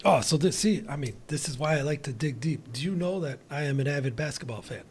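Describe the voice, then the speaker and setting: A man hosting a casual interview podcast, excitable and rambling, often restarting his sentences. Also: a little high, fast, breathy edge. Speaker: podcast host.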